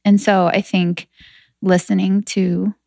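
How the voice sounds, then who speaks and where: A woman from New Hampshire, a fitness entrepreneur telling her story as a guest in a casual podcast chat, chatty and quick to laugh. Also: mid-range voice, flat delivery, creaky, darkish tone, no room sound, clean studio sound.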